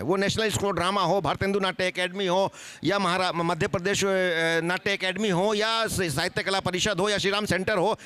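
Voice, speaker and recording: Bright, high-pitched man explaining on a panel. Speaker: seminar panelist. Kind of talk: explanatory panel talk, listing examples. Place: seminar stage at a live event.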